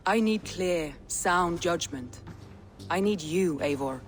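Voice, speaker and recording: A woman voicing a proud, wary Viking clan leader in a video game, with deliberate, solemn delivery. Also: lowish voice, soft background music. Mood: resolute. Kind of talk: cinematic video game acting.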